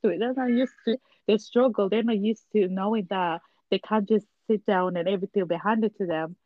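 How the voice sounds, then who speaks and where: A woman's voice: medium pitch, a little fast, no room sound, muffled recording. A woman chatting candidly in a casual conversation, livening up as she tells anecdotes.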